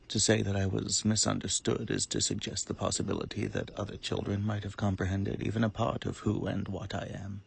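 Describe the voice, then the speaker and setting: A man playing a cold, calculating supervillain in a dramatic monologue, speaking with formal, deliberate, self-important eloquence and menacing calm in a gravelly, husky voice. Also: on the low side, heavily acted.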